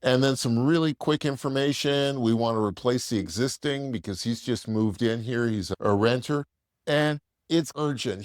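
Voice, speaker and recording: Male demo presenter, conversational and plain-spoken explainer, mid-range pitch, very little room sound. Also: no room sound, studio quality. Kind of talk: casual product walkthrough.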